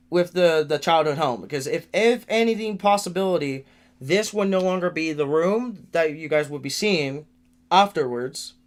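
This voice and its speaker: A nineteen-year-old man giving a rambling livestream update from a room in his family home, venting with frequent restarts and repeated words. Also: on the high side. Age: late teens. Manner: frustrated, venting.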